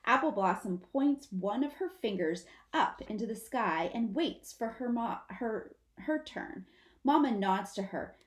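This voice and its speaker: Animated woman reading a children's story. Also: on the high side, a little slow. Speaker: storybook reader. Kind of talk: expressive children's read-aloud narration. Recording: roomy, echoing sound.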